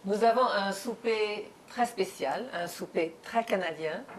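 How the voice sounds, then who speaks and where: A woman hosting a dinner-table interview in a home, speaking slowly and carefully as she searches for words. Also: medium pitch, slight background noise, low-quality recording. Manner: slow and measured, searching for words. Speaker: host. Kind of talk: hosted interview.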